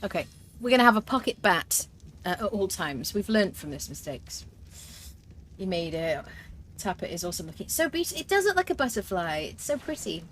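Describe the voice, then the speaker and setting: A woman's voice: medium pitch, sing-song, fairly quick, a bit bright, slight background noise. A woman streaming a survival game, chatting as she plays, thinking aloud with fillers and answering her viewers' comments. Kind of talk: live gameplay commentary.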